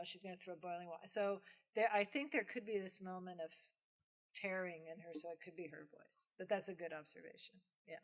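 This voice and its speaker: Female professor, medium pitch, informal lecture Q&A, conversational, expressive retelling. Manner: conversational, animated retelling with acted-out asides and frequent "right?". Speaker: professor giving a film lecture and taking audience questions. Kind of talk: informal lecture question-and-answer. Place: film screening lecture venue with audience.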